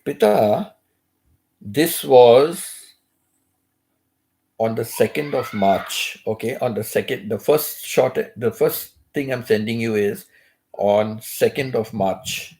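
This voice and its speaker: An older man hosting a casual chat from his bed, keeping his voice low and nearly whispering, so it comes out hoarse. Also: slow pace, long pauses, rough recording. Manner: speaks softly, almost whispering; hums tunelessly between thoughts. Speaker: channel host. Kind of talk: casual rambling livestream chat. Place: bedroom, in bed.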